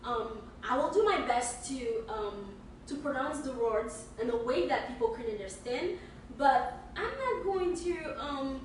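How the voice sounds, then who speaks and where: Fast-talking woman, high voice, echoey room. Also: animated, far from the mic, slight background noise. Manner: fast talker, stammers and restarts. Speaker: podcast co-host. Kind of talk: casual chat.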